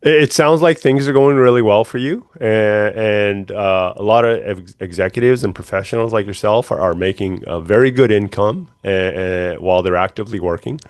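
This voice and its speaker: A man with a fairly low voice conducts an interview. He speaks conversationally and a little haltingly, and the sound is dry and close with little room echo. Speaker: interview host.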